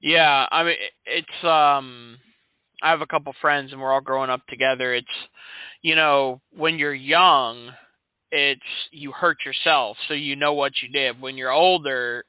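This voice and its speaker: A man's voice: mid-range voice, fairly even intonation, measured pace, clean tone, dry recording. A man in his late twenties, an insurance sales agent, chatting casually and in a friendly way on a sales call, with many fillers. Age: 20s. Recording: dry, tinny phone line.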